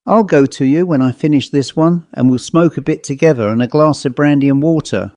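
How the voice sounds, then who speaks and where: A man's voice: a little high, brisk, a little mellow, dry recording, natural voice. A man playing a blustering country squire. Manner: blustering, rough country speech. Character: gruff, guilt-ridden male country squire.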